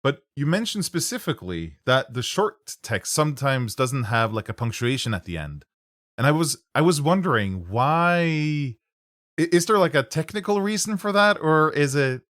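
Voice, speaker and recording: A man with a Norwegian accent, a native Norwegian speaker, hosting a casual interview, with halting restarts. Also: on the high side, sing-song, a bit bright, no room sound, studio quality. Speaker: podcast host.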